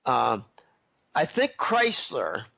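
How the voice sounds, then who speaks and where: A man giving a rambling interview answer while recovering from a head cold, clearing his throat often. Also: medium pitch, wildly swooping pitch, very slow, bright, forceful tone, muffled recording. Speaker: interview guest. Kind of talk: casual interview commentary.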